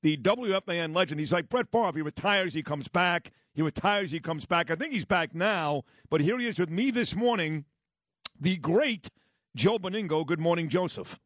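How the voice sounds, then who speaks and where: A male radio host in the studio, a lively storyteller introducing his guest and reminiscing. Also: a little high, normal speed, dry recording. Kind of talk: morning radio talk.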